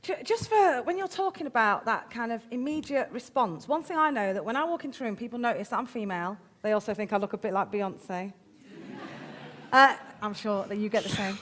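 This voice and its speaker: A woman's voice: fairly high pitch, expressive, brisk, a bit bright. A woman with an English Midlands accent, hosting on stage in a chatty, informal, jokey way. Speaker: event host.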